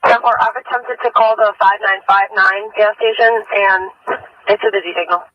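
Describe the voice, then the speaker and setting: A man's voice: high voice, fairly even intonation, moderate pace, no echo. A man working as a police dispatcher, relaying information over a two-way radio. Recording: dull, narrow police radio feed.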